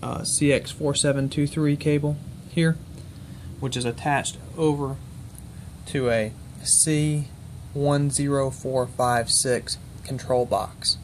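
A man's voice: medium pitch, fairly even intonation, slow, a little hiss or hum. A man giving an informal technical walkthrough as he demonstrates equipment, speaking in a methodical, matter-of-fact way.

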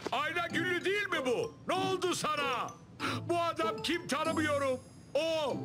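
A man voicing a dopey, easily frightened cartoon elephant, naive and excitable in manner. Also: extremely high pitch, measured pace. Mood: startled, alarmed. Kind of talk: cartoon voice acting. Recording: loud music under dialogue.

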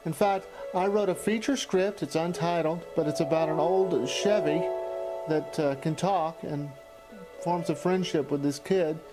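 Man, 40s, from Texas, higher voice, reminiscing documentary narrator, music underneath. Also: unhurried, rough recording. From Texas, United States. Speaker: documentary narrator and amateur filmmaker.

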